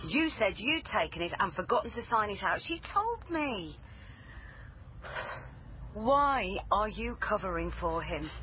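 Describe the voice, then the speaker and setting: A persistent female police liaison officer in a radio crime drama. She questions in a dry, sceptical way with highly animated intonation. The sound is slightly muffled, with clear noise around her. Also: unhurried. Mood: probing, insistent. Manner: probing, dry, insistent. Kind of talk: naturalistic British radio drama acting.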